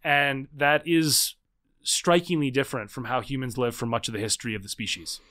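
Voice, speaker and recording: A man's voice: mid-range voice, fairly even intonation, fairly quick, pushed and bright. A man in his thirties talking as a podcast guest, thoughtful and hedging, with frequent fillers and restarts. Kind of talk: conversational interview.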